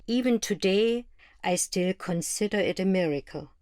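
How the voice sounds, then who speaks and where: A woman's voice: mid-range voice, lively intonation, moderate pace, darkish tone, dry recording, studio quality, lightly acted. A woman voicing an East German woman looking back on the 1989 protests in scripted first-person narration. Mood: reflective.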